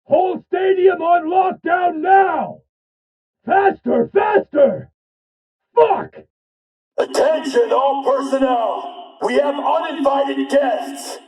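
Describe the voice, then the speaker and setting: Shouting, high-strained furious military commander. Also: male voice, slow pace, exaggerated voice, low-quality recording. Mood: furious, urgent. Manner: barking, urgent, profane commands. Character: furious male military commander barking orders. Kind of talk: video game combat acting.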